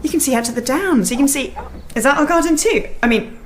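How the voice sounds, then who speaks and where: An actress playing an excitable, impulsive young expectant wife in a naturalistic radio drama, breathless and gushing. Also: female voice, high voice, quick pace, a little hiss or hum, close to an everyday voice. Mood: excited, delighted.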